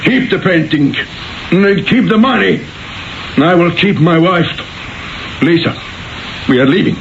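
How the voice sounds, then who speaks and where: An actor playing a proud, jealous and flustered Italian merchant husband in a radio drama, with a halting, stammering delivery. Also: male voice, sits high, gravelly. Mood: angry, resolute. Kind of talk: 1970s radio drama acting. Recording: dull lo-fi radio recording, faint noise.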